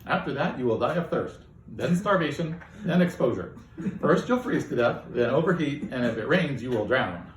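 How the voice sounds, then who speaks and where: A man voicing a gloomy male AI companion that flatly lists every way his owner will die. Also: medium pitch, normal speed, reverberant, a little hiss or hum, pitched above the performer's own voice, natural voice, poor audio. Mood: morbid. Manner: flat, relentless listing of deaths.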